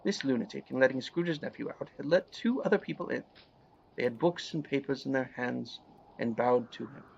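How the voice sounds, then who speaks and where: A man's voice: mid-range voice, normal speed, creaky, darkish tone, breathy edge, muffled recording. A man narrating a scripted storybook audio play.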